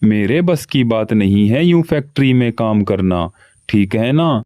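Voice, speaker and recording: Cartoon crow son, greedy lazy, low mellow slow voice done by a man, dry mic. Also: expressive, no room sound. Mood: scheming. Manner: whiny pleading, scheming. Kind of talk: cartoon voice acting.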